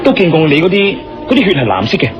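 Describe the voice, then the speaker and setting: A man with a Hong Kong Cantonese accent acting a resolute, inquisitive investigator in a radio drama, deliberate and questioning. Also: on the high side, sing-song. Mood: pressing. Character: resolute, inquisitive adult male investigator and hero. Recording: dull lo-fi radio drama under loud music.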